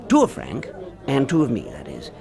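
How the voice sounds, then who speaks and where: Flustered British schemer, wildly swooping delivery. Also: male voice, medium pitch, wildly swooping pitch, moderate pace, creaky, mellow, a faint crowd. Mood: placating, explaining. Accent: British English. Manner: theatrical, wordy, fretful. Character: flustered, scheming husband beset by his own duplicate. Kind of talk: British radio drama acting.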